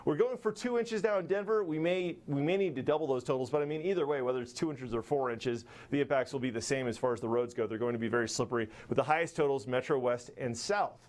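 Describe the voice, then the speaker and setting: A man's voice: medium pitch, fast talker, hardly any pauses, darkish tone. An American man giving a live TV weather forecast in an upbeat, chatty, conversational way.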